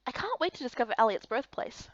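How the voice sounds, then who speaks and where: Woman playing a curious, animated young fantasy heroine. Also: a little high, quick pace, dry recording, dull audio. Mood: awed, curious.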